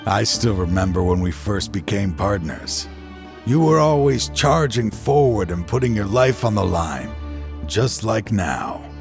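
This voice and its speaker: A man's voice: fairly low pitch, sing-song, unhurried, over music. An actor playing a bitter, regretful middle-aged former lawman turned video game villain, with weary, brooding delivery. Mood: taunting. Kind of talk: dramatic video game voice acting.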